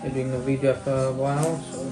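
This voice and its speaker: A man's voice: mid-range voice, little pitch movement, quick pace, warm tone. A man in his thirties chatting casually with his wife on a sofa at home, giving short, dry replies. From India. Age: 30s. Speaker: vlogger co-host. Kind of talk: casual chat. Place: living room sofa, indoors. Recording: roomy with background music.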